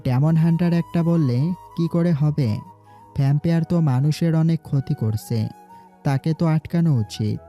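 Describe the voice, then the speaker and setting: A man's voice: a little high, normal speed, dark tone, lightly acted. A man voicing a commanding young city boss in an audio drama, giving firm orders. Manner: commanding, gives orders firmly. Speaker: voice actor. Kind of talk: Bengali audio drama acting. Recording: faint background music.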